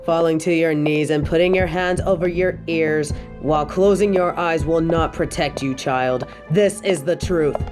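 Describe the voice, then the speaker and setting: A woman's voice: sits low, moderate pace, hardly any pauses, over music, natural voice. A woman playing an evil, possessive dictator queen, cold, cruel and seductive, taunting in formal, imperious words in an audio roleplay. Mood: cold, scornful. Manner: taunting, imperious, formal wording, lingering delivery. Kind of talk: scripted audio roleplay monologue.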